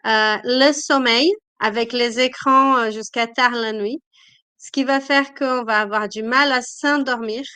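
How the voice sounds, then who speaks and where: A woman's voice: on the high side, steady tone, a little slow, sharp tone, clear, resonant tone, no echo. A woman with a cold, hosting a live-stream talk as a wellness coach, speaking hesitantly with many fillers. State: has a cold.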